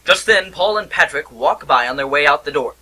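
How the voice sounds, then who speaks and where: A man's voice: on the high side, expressive, brisk, sharp tone. Dramatic man narrating a homemade cartoon. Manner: dramatic, storytelling. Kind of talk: scripted storybook narration for an amateur cartoon. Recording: music bed under the voice.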